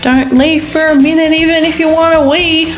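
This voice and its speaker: A woman's voice: high voice, steady tone, normal speed. A woman taking part as a contestant in a podcast game, recorded with a roomy sound. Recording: roomy sound, faint music.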